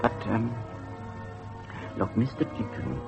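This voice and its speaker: An actor playing a flustered, evasive Victorian university head, speaking formally and haltingly. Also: male voice, fairly low pitch, very animated, gravelly, lo-fi sound, over music, full character voice. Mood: hesitant, reassuring. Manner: hesitant, faltering, formal. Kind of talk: period radio drama acting.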